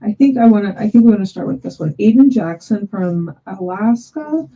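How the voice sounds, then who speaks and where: A woman hosting a webinar, putting questions to a guest with hesitant, halting phrasing over a dull video call microphone. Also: mid-range voice, animated, warm tone. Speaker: host, English teacher. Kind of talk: webinar interviewing, reading out audience questions.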